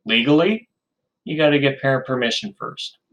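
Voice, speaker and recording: A man's voice: medium pitch, animated, moderate pace, fairly bright tone, poor audio. A man in his fifties giving an informal lecture, chatty, with self-deprecating asides and frequent ums. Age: 50s.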